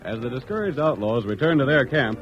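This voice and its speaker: A man's voice: mid-range voice, lively intonation, fairly quick, lightly acted. Dramatic radio narrator, a man, music behind. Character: dramatic announcer narrating a western serial. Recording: muffled old radio broadcast, music behind.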